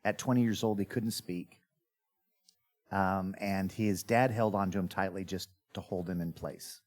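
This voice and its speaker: Middle-aged male entertainer, very low voice, chatty interview storyteller. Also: a little slow. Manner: animated storyteller who acts out quoted dialogue. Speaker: magician guest telling his life story.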